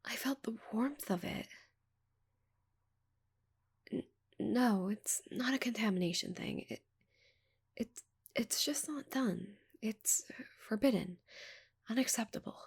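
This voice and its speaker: A slow, breathy giant alien woman, acted by a female ASMR performer, haughty yet easily flustered. She sounds prim and halting, curious about Earth, with a creaky, whispery edge. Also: on the high side, pitched above the performer's own voice, full character voice. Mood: flustered, scandalized. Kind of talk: ASMR roleplay.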